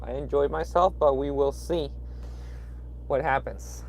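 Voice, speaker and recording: A man's voice: mid-range voice, a little slow, darkish tone, slight background noise, poor audio. A young man from Peru with a Peruvian Spanish accent, chatting casually on a late-night livestream from inside his truck cab, rambling with lots of fillers and restarts. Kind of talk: casual livestream chat reading viewer comments.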